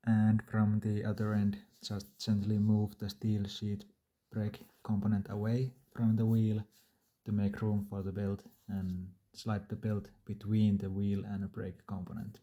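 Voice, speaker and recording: A man's voice: lowish voice, completely flat delivery, a little slow, mellow. A man narrating a do-it-yourself repair tutorial in a plain, matter-of-fact, step-by-step way. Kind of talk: instructional DIY narration.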